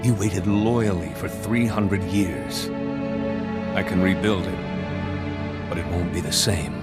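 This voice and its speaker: A weary yet zealous fallen warrior-monk in a video game. His low voice is grave and deliberate, under loud music. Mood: solemn. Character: solemn, zealous fallen Jedi man, weary and resolute.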